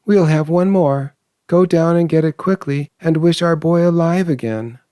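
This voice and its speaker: A man voicing a hysterical, desperate old mother in a storybook reading, feverish, excitable and insistent. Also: fairly high pitch, moderate pace, smooth, dry recording, studio quality, voice pushed up, lightly acted. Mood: frantic excitement. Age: older.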